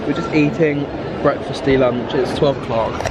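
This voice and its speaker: A man's voice: mid-range voice, steady tone, normal speed, heavy background noise. A young man chatting casually and jokily as he vlogs his day out with friends. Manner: chatty, jokey, upbeat. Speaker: vlogger. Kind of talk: casual vlog chat. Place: in a car, then at a convention.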